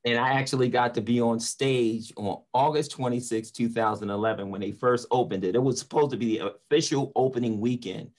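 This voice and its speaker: A man's voice: mid-range voice, steady tone, fairly quick, hardly any pauses, a little mellow. A middle-aged man, a motivational speaker, telling stories conversationally over a video call from a room at home. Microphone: computer microphone on a video call.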